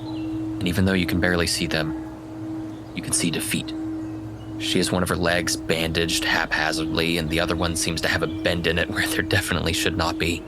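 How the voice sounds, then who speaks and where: A man narrating a tabletop roleplaying game as its game master. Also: sits low.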